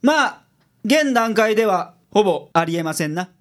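Man acting a high-voiced, self-assured detective boss. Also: lively intonation, normal speed. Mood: businesslike. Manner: businesslike, declarative delivery. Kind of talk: radio drama acting.

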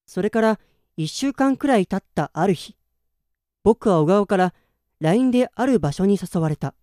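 A man's voice: high-pitched, lively intonation, normal speed, dark tone, dry recording, clean studio sound. An actor playing a listless, self-doubting man in his twenties in a radio drama, plain-spoken in narration and dialogue. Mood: reflective, subdued. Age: 20s.

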